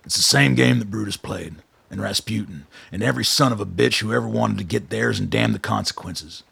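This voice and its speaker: An actor playing a blunt, shrewd old soldier, a commanding colonel with a gravelly, husky voice in a radio drama. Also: male voice, lowish voice, fairly quick, a bit bright, no room sound, heavily acted. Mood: angry, forceful. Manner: blunt, commanding, dryly pressing.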